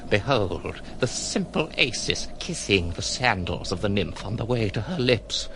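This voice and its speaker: An actor playing a sinister, disdainful gentleman villain in an audio drama, speaking in a mocking, florid, theatrical way. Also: on the low side, big pitch swings, unhurried. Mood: cold menace. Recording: noticeable background noise.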